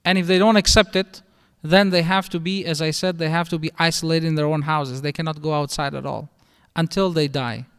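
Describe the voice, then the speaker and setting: Middle-aged Albanian-accented male imam, somewhat high and smooth-voiced, explaining patiently in a reverberant mosque hall. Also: fairly even intonation, a little fast, few pauses, a bit bright. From northern Albania. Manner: explanatory, conversational teaching with restarts. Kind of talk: religious lecture.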